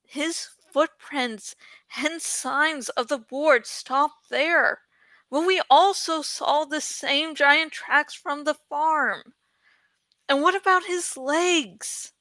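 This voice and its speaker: Woman reading fantasy book out loud, bright highish voice, takes her time, casual jokey. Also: slow pace, no echo. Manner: jokey, self-teasing asides when misreading. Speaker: amateur audiobook reader. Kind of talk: reading a fantasy novel aloud with casual asides.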